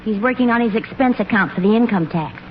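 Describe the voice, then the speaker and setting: An actress as a high-voiced radio-sitcom housewife. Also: female voice, fast, slight background noise, close to an everyday voice. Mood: matter-of-fact. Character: sweet, supportive housewife and mother. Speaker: mother in a family sitcom. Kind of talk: 1940s radio comedy acting. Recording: muffled old radio recording.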